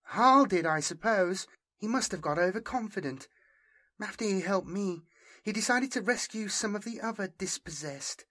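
A man's voice: high-pitched, animated, moderate pace, sharp tone, voice pushed up, full character voice. A man voicing a distressed, defiant young woman refugee in an audiobook, speaking urgently in clipped phrases. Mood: subdued, explaining.

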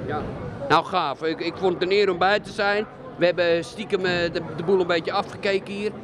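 A male reporter puts his questions in a chatty, encouraging way, with a slightly high voice. A crowd murmurs behind him in the bowling centre. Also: steady tone, normal speed. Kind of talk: casual interview questions.

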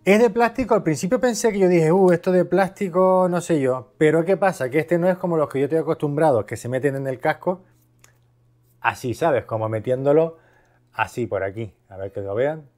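A man's voice: a little high, fairly quick, darkish tone, faint music behind. A man from Spain giving a casual, conversational product review, explaining things by asking and answering his own questions. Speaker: video host, product reviewer.

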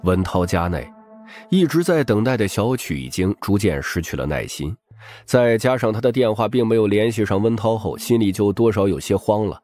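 A man's voice: fairly low pitch, expressive, moderate pace, a little mellow, faint music behind. A man reading scripted, dramatic audiobook narration.

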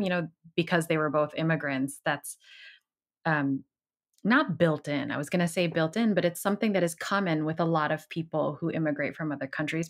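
A woman co-hosts a podcast and speaks slowly and thoughtfully. Her voice is one of the deepest among women. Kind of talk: casual interview chat.

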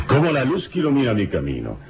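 A man playing a suave, calm, confident adventurer hero in an old radio drama, speaking in a measured, courtly, theatrical way. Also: mid-range voice. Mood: warm, courtly. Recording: dull old radio broadcast recording. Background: dramatic music.

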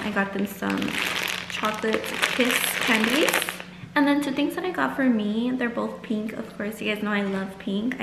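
A woman's voice: fairly high pitch, creaky, reverberant, heavy background noise. A young woman chatting casually and informally to viewers in a vlog, sprinkling her talk with "like". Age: young adult. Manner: chatty, informal, heavy use of "like". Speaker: vlogger. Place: small room at home, indoors.

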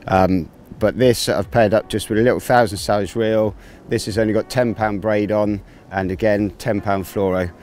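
Man, 30s, low mellow voice, relaxed outdoor tutorial presenter on a riverbank, lapel mic. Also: normal speed. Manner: relaxed, explains gear step by step with many fillers. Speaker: angling presenter.

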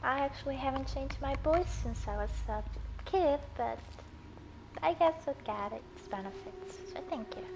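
A woman's voice: high-pitched, moderate pace, vocal fry. A woman streaming a game and chatting casually with her viewers between moments of play. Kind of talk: casual chat while playing. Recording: loud game noise behind voice. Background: game sounds.

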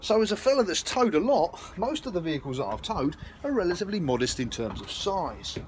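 Animated middle-aged British man, chatty. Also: sits high, fairly quick. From United Kingdom. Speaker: RV salesman presenting a vlog. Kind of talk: casual walk-through product pitch to camera. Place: RV sales lot, outdoors and inside trailers. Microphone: phone at arm's length. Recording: handheld recording with clear ambient noise.

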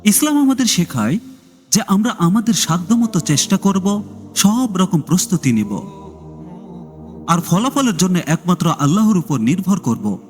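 A man tells a scripted moral story in a fairly high, very animated voice. His narration rings in a long reverb, with music playing behind it. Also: moderate pace.

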